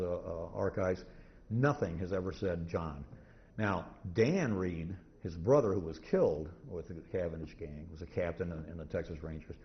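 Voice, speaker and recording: A man giving an informal, rambling lecture to an audience, halting and restarting often as he goes. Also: low voice. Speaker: convention presenter, radio historian. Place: indoor convention talk room. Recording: muffled, noisy live convention room recording.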